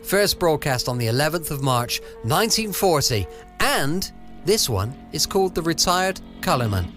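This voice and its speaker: A man's voice: a little high, very animated, normal speed, fairly bright tone, faint music behind. A man, introducing a late-night podcast in a chatty, informal, friendly way. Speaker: podcast host. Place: at home, close dry recording.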